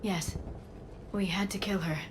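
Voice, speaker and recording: A woman's voice: mid-range voice, steady tone, a little slow, over loud music, lightly acted. Woman playing an earnest, worried alien scholar. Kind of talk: video game dialogue. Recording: clear ambient noise behind.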